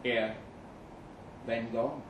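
A man performing a live short-story reading as a weary, jaded agent, speaking deliberately with dramatic pauses. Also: medium pitch, steady tone. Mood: grave, reflective. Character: weary, jaded male agent narrating his own story. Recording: roomy live venue, some noise.

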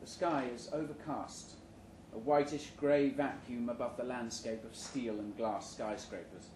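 A man giving a live, measured, deadpan reading of satirical fiction at an indoor venue. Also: medium pitch. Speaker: short story reader. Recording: roomy, noisy live venue recording.

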